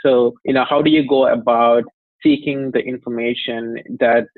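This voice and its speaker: A man's voice: mid-range voice, steady tone, moderate pace, no room sound. A man from India with an Indian accent, a company chief explaining his work at length in an interview, with many "you know"s and light laughs. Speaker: company CEO, guest. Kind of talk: interview conversation. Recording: phone-like dry line.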